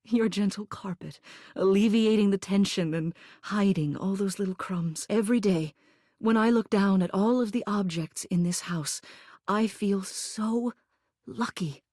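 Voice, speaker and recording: A woman's voice: medium pitch, unhurried, a little mellow, no room sound, clean studio sound, lightly acted. A woman playing a haughty, formal mayor who is secretly tender, in scripted video game dialogue. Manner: prim, formal, officious, clipped commands.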